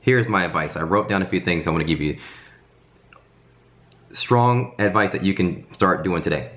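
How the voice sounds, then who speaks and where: Casting: man in his 30s, deep voice, slightly hoarse, talking casually to camera at home. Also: normal speed, highs cut off. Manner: animated hand gestures, frequent "um" and "okay?". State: hoarse from a cold. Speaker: design career vlogger. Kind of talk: casual advice talk to camera. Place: home kitchen, indoors.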